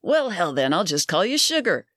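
A narrator voicing a loud, crude, pushy middle-aged barfly in a dry recording. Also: mid-range voice, big pitch swings, moderate pace, no room sound. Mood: leering.

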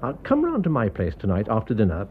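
An actor playing a coolly scheming English gentleman surgeon in a radio drama, confiding and deliberate. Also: fairly low pitch, wildly swooping pitch, phone-quality sound. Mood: confiding, persuasive.

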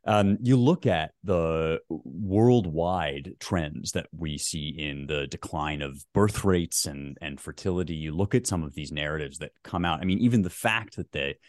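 A man speaking as a guest author in an interview, thoughtful and academic, pausing often with fillers as he reasons. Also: low-pitched, darkish tone, dry recording, clean studio sound. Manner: thoughtful, halting, many fillers.

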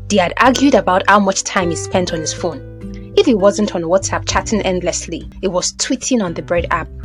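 A woman narrates an audio drama in a Nigerian accent. Her medium-pitched voice is very expressive, moving up and down through the scripted story, with music underneath.

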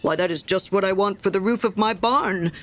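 A woman's voice: mid-range voice, brisk, close to an everyday voice. A woman voicing a pompous, self-righteous male miller who talks in a smug, preachy way. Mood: self-satisfied.

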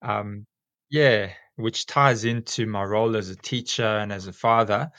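A man in his forties with a South African accent, a school teacher talking casually as a podcast guest and often pausing with ums and restarts. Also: lowish voice, steady tone, a bit bright, no echo. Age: 40s. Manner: rambling, many ums and restarts.

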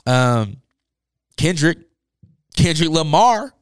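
A man's voice: fairly high pitch, very animated, slow, clean tone, no room sound, studio quality. A man hosting a casual music podcast, talking in an informal, slangy, enthusiastic way, with his voice worn from a long day of talking. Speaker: podcast host. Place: indoors, upstairs room.

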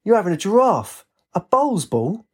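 A man's voice: on the high side, big pitch swings, normal speed, a little mellow, breathy edge, voice pushed up. A man voicing an upbeat, easily startled young-at-heart raver with slangy, exclaiming banter in a story. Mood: exasperated.

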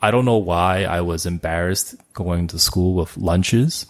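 A man, his Cantonese. His voice sits very low and has a gravelly fry. He chats loosely as a guest, repeating words and padding with fillers. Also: unhurried. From Canada. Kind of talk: casual interview chat.

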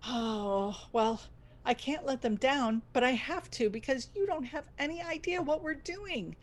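An actress playing a desperate, bossy radio station owner in an audio comedy, theatrical and commanding. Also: female voice, sits high, lively intonation, unhurried. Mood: urgent, commanding. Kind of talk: comedy audio drama acting.

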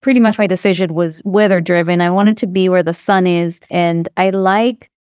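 A woman's voice: lowish voice, fairly even intonation, moderate pace, darkish tone, no echo, studio quality. A woman in her thirties with an Israeli accent, talking casually as a podcast guest with many fillers and restarts. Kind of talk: podcast interview.

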